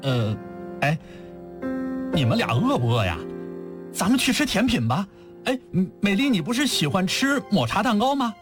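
A man voicing a kind young schoolboy in a story, speaking up loudly and eagerly. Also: sits high, sing-song, takes it slowly, long pauses, music in the background, full character voice. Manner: loud, eager.

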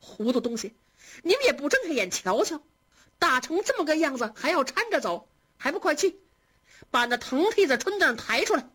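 A woman reading aloud and voicing a sharp-tongued young mistress of the house who scolds the servants briskly. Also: high-pitched, very animated, voice pushed up. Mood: scolding.

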